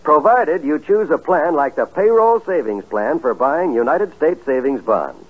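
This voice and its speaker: A man's voice: fairly high pitch, animated, measured pace, breathy edge. A man reading scripted announcements as a 1950s radio announcer, in a polished, declamatory manner. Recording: tinny, narrow 1950s radio recording.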